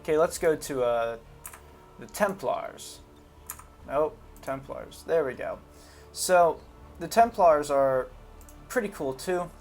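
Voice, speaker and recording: A man's voice: a little high, animated, slow, long pauses, faint music behind. A man giving casual, unscripted commentary on a video game, talking informally and hesitantly as he thinks aloud. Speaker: gaming video host.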